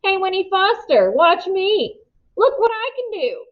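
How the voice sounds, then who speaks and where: Woman voicing an excitable, high-pitched teenage boy. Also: unhurried, muffled recording, heavily acted. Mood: boisterous. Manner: exclaiming, folksy, eager. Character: excitable, carefree teenage boy, eager and boisterous.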